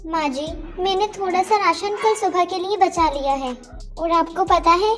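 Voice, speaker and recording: A woman's voice: very high-pitched, normal speed, fairly bright tone. A woman voicing a kind, proud young daughter-in-law in a Hindi moral-story cartoon, with music behind her. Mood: proud, excited.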